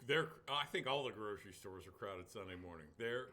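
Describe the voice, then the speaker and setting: A man's voice: fairly low pitch, animated, a little fast, sharp tone. A man co-hosting a podcast, chiming in with short, dry wisecracks while his partner tells a story. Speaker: podcast co-host. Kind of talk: casual chat.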